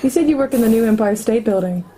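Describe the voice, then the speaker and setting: A woman's voice: fairly high pitch, fast, warm tone, lightly acted. An actress playing a curious woman on a date, in a radio play. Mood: curious, wary.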